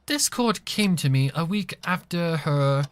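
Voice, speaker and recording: A refined, courteous lady pony, acted by a man reading a story. The voice sits fairly high and explains in careful, formal phrases with composed patience. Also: lively intonation, a little slow, clear, resonant tone. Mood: grave, explaining.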